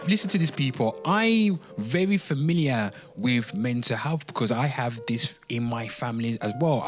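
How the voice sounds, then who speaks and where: A man hosting a commentary show, mixing dramatic reading with informal, sweary ranting, drawing words out theatrically and addressing listeners directly. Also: medium pitch. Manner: theatrical, drawn-out words and exclamations. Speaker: host of a pop culture commentary show.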